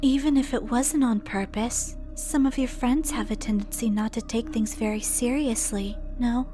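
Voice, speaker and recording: A woman playing the ghost of a dead girl in a game dub, cryptic and coldly taunting, speaking in a measured, ominous, breathy whisper. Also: on the high side, lightly acted. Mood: insinuating. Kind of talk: dramatic video game dub acting. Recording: background music under the voice.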